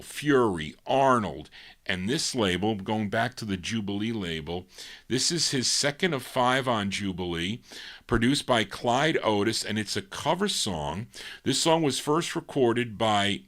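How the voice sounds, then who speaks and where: A man's voice: lowish voice, fairly bright tone. A male radio host chatting casually and rambling, with a tired voice he says he is losing. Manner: rambling, self-mocking, many restarts. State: losing his voice, dry throat. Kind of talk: casual on-air chat, sign-off.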